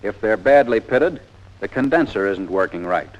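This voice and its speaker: A man's voice: on the low side, lively intonation, moderate pace, highs cut off. An American man narrating a 1940s army training film in a scripted, plain-spoken, methodical instructional style.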